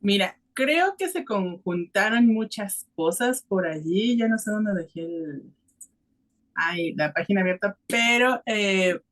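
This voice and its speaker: Woman, average pitch, podcast co-host, casual conversational delivery. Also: expressive, slow, a bit bright.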